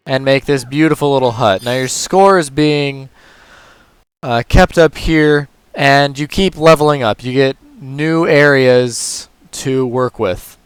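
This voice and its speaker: Male gaming host, hoarse and tired, unhurried walkthrough commentary, smooth clear tone. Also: a little high. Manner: relaxed, talks through moves step by step. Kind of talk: casual gameplay commentary.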